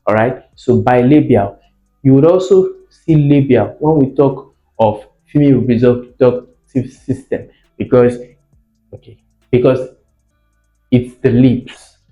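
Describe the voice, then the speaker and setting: A man teaching a lesson, explaining didactically, asking questions and repeating key words for emphasis. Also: medium pitch. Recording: muffled, echoey room with faint music behind.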